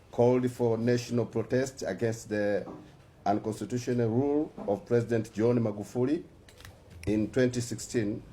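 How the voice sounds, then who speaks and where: A man with a Tanzanian accent reads a prepared statement aloud in a formal way, sometimes halting over words. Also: medium pitch, dark tone. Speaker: musician and activist.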